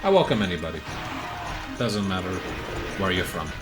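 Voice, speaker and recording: A man with a low, bassy voice rambling casually as he streams a video game live. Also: sing-song, normal speed. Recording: music behind the voice. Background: game music.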